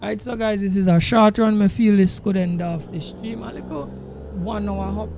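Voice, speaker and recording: A man with a Jamaican accent and a gravelly voice, chatting casually to livestream viewers in an emphatic, repetitive way. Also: fairly even intonation, normal speed, darkish tone. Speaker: game livestreamer. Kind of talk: casual livestream chat while driving a truck sim. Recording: noisy, engine rumble behind voice. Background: truck engine sounds from the game.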